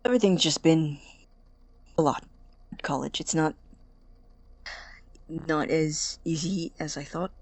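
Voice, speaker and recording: A woman playing an anxious, guarded college-age young man in an audio drama, speaking haltingly and apologetically. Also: deep voice, a little mellow, no echo. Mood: nervous, apologetic. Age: young adult. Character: awkward, anxious young male college student, studious and guarded. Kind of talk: naturalistic audio drama acting.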